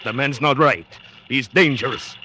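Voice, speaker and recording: A man's voice: mid-range voice, normal speed, close to an everyday voice. An actor playing a loyal, indignant sailor in an old-time radio drama, reporting to his captain. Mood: angry, warning. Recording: noisy vintage radio recording.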